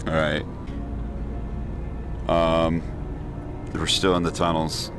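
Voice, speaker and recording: A deep-voiced young man commentating as he plays a horror game, talking himself through the scares with jittery nervous remarks. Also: completely flat delivery, takes it slowly, music in the background. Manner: jittery, talks himself through scares, yelps high when startled. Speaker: let's play gamer.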